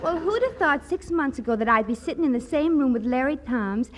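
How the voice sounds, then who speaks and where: High-pitched naive young mother, old radio. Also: lively intonation, fairly quick, crowd noise. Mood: artless, rambling. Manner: guileless, rambling, simple folksy talk. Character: naive, sweet, plain-spoken young single mother in high school. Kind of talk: 1940s radio comedy acting. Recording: noisy old 1940s radio recording.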